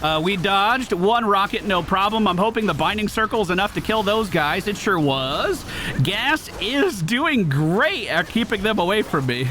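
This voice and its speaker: An excitable man streams a video game with casual, slangy commentary. His voice is fairly high for a man and jumps around in lively swings. Faint music runs behind him. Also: moderate pace. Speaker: gaming streamer. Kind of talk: casual live gameplay commentary.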